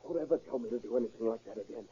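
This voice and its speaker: An actor playing a nervous, wary burglar in a 1930s radio crime drama. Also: male voice, mid-range voice, racing, warm tone, slight background noise, lightly acted. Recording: dull vintage 1930s radio recording.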